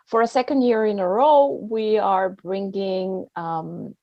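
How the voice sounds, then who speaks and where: A woman hosting an online event, introducing things in a halting, hesitant way with many pauses. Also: medium pitch, steady tone, creaky, no echo. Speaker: host of a festival talk. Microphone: video call. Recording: dull, band-limited online call sound.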